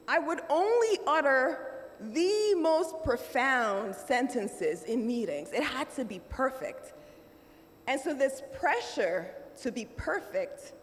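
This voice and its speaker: A middle-aged woman with a Nigerian accent gives a motivational keynote speech, measured and emphatic, with dramatic pauses. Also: high-pitched. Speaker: keynote speaker. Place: large conference hall. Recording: roomy hall reverb, faint room noise.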